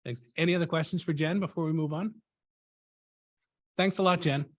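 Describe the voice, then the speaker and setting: A man chairing a board meeting, moving through motions in a brisk, procedural way. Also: fairly high pitch, steady tone.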